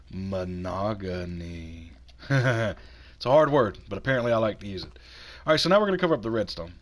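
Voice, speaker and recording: Hoarse low-voiced man narrating gameplay. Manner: chatty, talks himself through the build. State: hoarse from sinus infection. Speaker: gaming channel host. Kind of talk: casual game building commentary.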